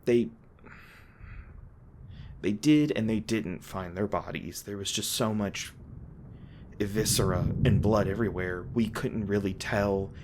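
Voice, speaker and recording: A man playing a gruff, guilt-ridden monster hunter with a tender side, speaking haltingly and emotionally in an intimate audio roleplay. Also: lowish voice, darkish tone, lightly acted. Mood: agitated, bitter. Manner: halting, stammering, emotional, swearing. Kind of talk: intimate dramatic audio roleplay addressed to listener. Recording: noticeable background noise and ambience.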